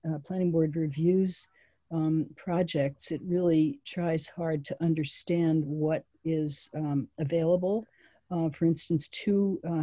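A woman speaking as a town planning official at a public meeting over a video call, measured and pausing with frequent ums. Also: low-pitched, dark tone, husky, no room sound.

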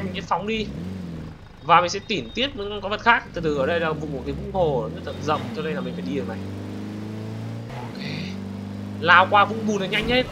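A man giving excited, casual gameplay commentary as a gaming host, exclamatory and slangy, often repeating his words. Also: a little high, background noise.

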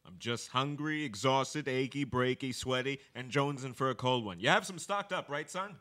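A man's voice: mid-range voice, moderate pace, pushed and bright, natural voice. Grouchy, sarcastic dad acted by a young man. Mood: grumpy. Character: grouchy, sarcastic, suspicious middle-aged dad. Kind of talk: live radio theater acting, scripted. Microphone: stand microphone up close.

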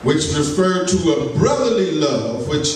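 A man's voice: fairly high pitch, sing-song, a little slow. A man minister, preaching a folksy sermon in a church sanctuary with laughter and asides to the congregation. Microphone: pulpit mic, filmed by a far camera. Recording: echoey church from far off, noisy.

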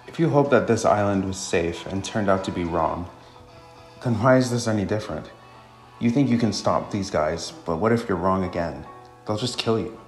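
A man's voice: sits low, normal speed. A man voicing a despairing husband in a visual novel game, weary, bitter and skeptical. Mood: bitter, doubtful. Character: despairing husband robbed of his wife, bitter and doubtful. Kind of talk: amateur visual novel voice acting. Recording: roomy with faint music.

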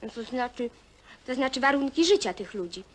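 A woman's voice: high-pitched, animated, moderate pace, slightly rough. An actress playing an earnest, pedantic educated woman in a film, lecturing in bookish jargon. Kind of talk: naturalistic film acting. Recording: noisy 1970 film soundtrack.

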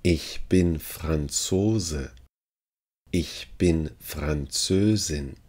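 A man working as a language teacher, reading short phrases deliberately and repeating each one for learners. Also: rumbling low, a little mellow, breathy edge.

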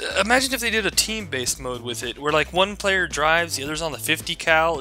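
Bright, lively young man ranting. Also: fairly high pitch. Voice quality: profane, sarcastic. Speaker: gaming channel host. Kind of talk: rant to camera then casual gaming chat. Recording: game music behind the voice.

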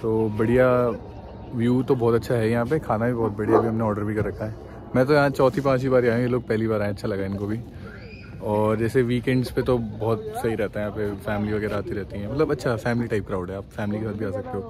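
A young man casually narrating a weekend trip vlog outdoors, relaxed and chatty. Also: on the low side, fast talker, a crowd in the background. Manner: relaxed, chatty, laughs with friends. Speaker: travel vlogger. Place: outdoors by a cafe and road.

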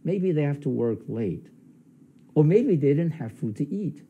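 An older man preaching a sermon in a plain studio, explaining history in a measured, teaching way. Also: slightly rough, narrow, tinny audio. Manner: measured, explanatory preaching with lively pitch rises. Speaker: pastor. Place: studio with plain light backdrop, indoors.

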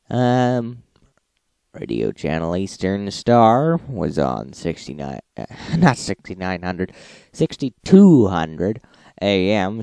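Male host for informal log recap: mellow, dry-recorded, matter-of-fact delivery. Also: low-pitched, creaky, dry recording.

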